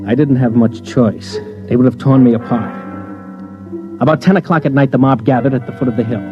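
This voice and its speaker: A man's voice: mid-range voice, a little fast, muffled recording, natural voice. An actor playing a cynical, wary newsman who narrates a 1950s radio drama in a terse, hard-boiled way. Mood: grim, wry. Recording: old radio recording with music underneath.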